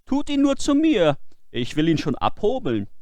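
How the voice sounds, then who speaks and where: A sly village sexton from a storybook, performed by a man, raised in pitch. He sounds confident and self-assured, with emphatic delivery. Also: wildly swooping pitch, moderate pace, darkish tone, no echo. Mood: boastful. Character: confident, sly sexton planning a harsh lesson. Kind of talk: fairy-tale storybook character voice.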